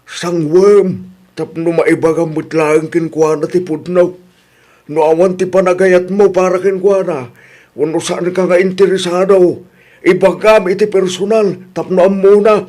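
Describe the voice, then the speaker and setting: Older father, male actor, high-pitched voice, patient and advising, radio drama. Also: flat delivery, measured pace, mellow. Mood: gently advising. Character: older father, a calm, advising Filipino grandfather. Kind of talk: Ilocano radio drama acting.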